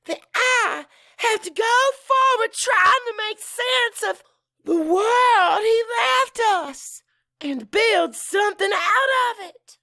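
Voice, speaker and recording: A man voicing an anguished, defiant wife in an audiobook drama, tearful and forceful with a raised voice. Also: very high-pitched, animated, takes it slowly, creaky, pitched above the performer's own voice, heavily acted. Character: anguished, defiant wife standing up to her husband.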